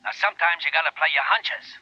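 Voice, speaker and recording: An actor in 1940s radio drama playing a gruff, penny-pinching detective agency boss, raspy and nagging through a telephone filter. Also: male voice, fairly high pitch, fast talker, dry recording, muffled recording. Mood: aggrieved. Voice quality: gravelly, raspy, sharp. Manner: gruff, nagging, self-pitying.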